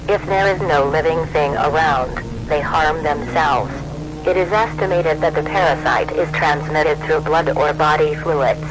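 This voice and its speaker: A man with a slightly high voice delivers a scripted emergency announcement over clear background noise and hum. His delivery is formal and measured, with the impersonal tone of an alert announcer.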